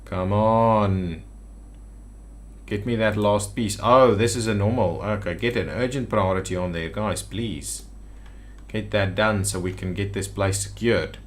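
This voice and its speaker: A man's voice: on the low side, unhurried. A man giving casual gameplay commentary as a video host, thinking aloud and muttering to himself. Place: indoors at a computer.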